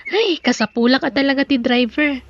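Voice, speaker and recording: High-voiced, friendly young sister-in-law, Ilocano accent. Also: female voice, moderate pace, dry recording, lo-fi sound. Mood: polite, hopeful. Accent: Filipino, Ilocano. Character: Pearly, single businesswoman, friendly bashful younger sister-in-law. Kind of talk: Ilocano audio drama acting.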